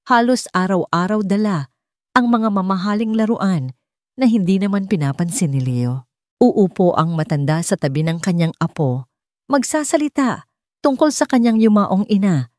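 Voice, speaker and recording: A woman narrating a dramatic story from a script in a measured storytelling manner. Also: medium pitch, a little mellow, no echo, clean studio sound.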